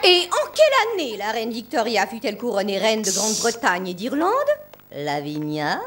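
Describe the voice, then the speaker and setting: A woman's voice: mid-range voice, sing-song, normal speed, noisy background, lightly acted. A woman playing a strict, greedy, two-faced boarding school headmistress in a French cartoon dub, with a prim, haughty manner. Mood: stern, lecturing. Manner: prim, haughty, syrupy with rich parents.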